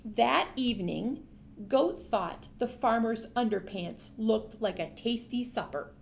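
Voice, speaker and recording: A woman's voice: a little high, gravelly, slight background noise. A woman storyteller reading a picture book aloud expressively, speaking directly to young children.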